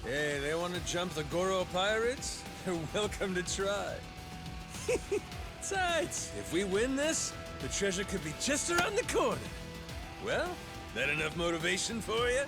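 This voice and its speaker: A cocky pirate captain, his voice fairly high and very animated. He swaggers and taunts in slang like a wild ex-gangster in a game cutscene, with music clearly behind him. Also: a little slow, full character voice. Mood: gleeful bravado. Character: wild, cocky middle-aged male pirate captain and ex-yakuza. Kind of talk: video game cutscene acting.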